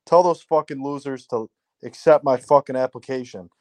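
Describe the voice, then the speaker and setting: A man's voice: medium pitch, normal speed, no echo. A man in his twenties calling into a live show, blunt and swearing a lot. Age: 20s. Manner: profane, blunt, excitable. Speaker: caller. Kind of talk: casual chat.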